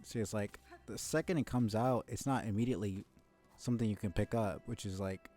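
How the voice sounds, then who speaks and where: Low-key male game streamer, slightly dark voice. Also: fairly quick, faint music behind. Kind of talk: casual live gameplay commentary.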